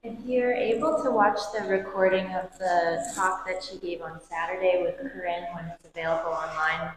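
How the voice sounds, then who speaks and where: Lower-voiced woman in an echoey hall. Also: fairly even intonation, normal speed, a crowd in the background. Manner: informative, fluent. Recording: echoey hall with ambient noise.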